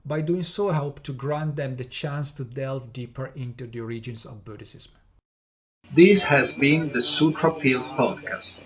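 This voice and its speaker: Podcast host, man, Italian accent, measured teaching delivery with some fillers, mid-range voice. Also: mid-range voice. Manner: measured, explaining step by step with hesitant fillers. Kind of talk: explanatory podcast lecture.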